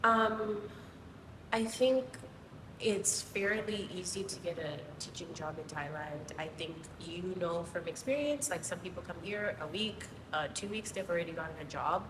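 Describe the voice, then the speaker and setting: A woman from Zimbabwe, a guest teacher, explaining things casually with many pauses and ums. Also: lowish voice. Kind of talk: casual interview answers. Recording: distant mic, roomy hall, noisy.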